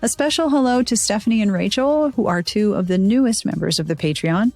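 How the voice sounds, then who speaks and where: A woman's voice: medium pitch, brisk, darkish tone, soft background music. An American woman hosting a podcast, reading sponsor spots and chatting with a guest in a bubbly, playful way. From United States. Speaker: podcast host. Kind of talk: casual podcast chat and read ads.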